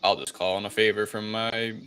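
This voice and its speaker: A man with a low-pitched voice that still has a bright, forceful edge. He speaks as a podcast co-host in casual review talk, haltingly working out his thoughts aloud. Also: fairly even intonation, a little hiss or hum. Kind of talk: casual movie review chat.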